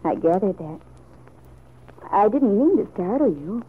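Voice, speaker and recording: An earnest, resolute young woman, speaks with a distinctly husky, breathy voice. It is old-time radio serial acting on a dull, lo-fi vintage recording. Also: mid-range voice, very animated, unhurried. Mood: earnest, determined. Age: young adult.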